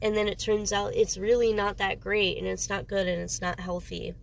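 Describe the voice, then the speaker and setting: Woman tarot reader with a head cold, gravelly voice, slightly noisy background, rambles and searches for words. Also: mid-range voice, flat delivery, moderate pace. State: sniffly with a cold. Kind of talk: casual solo tarot reading.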